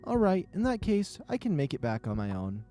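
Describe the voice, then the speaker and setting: Guy doing a polite young animal guy in a visual novel, earnest, mellow, lively pitch. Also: fairly quick, soft background music. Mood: friendly, reassuring. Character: young male animal narrator-hero, polite and considerate. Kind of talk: visual novel character reading.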